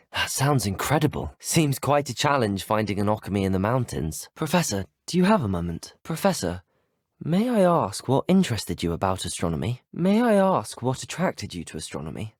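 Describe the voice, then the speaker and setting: A man's voice: mid-range voice, husky, no room sound, studio quality. A young man with a British accent, acting as a polite, curious teenage wizard schoolboy in a video game, speaking in a courteous, formal, well-spoken way. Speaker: voice actor for a player character. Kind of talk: video game character dialogue.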